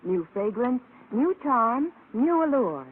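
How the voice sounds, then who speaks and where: A woman's voice: on the high side, big pitch swings, takes it slowly. A woman reading a vintage radio advertisement as a commercial announcer. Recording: tinny, noisy old radio recording.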